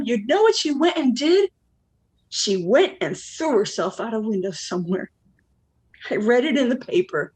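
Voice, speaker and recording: A woman's voice: fairly high pitch, breathy edge. An actress playing a weary, worldly wife and mother in a stage play, wistful yet blunt. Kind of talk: dramatic monologue in a stage play.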